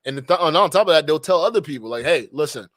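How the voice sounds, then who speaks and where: A young man from Nigeria hosting a live stream, giving an animated, preachy monologue with slangy asides. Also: fairly high pitch, quick pace, no room sound, studio quality.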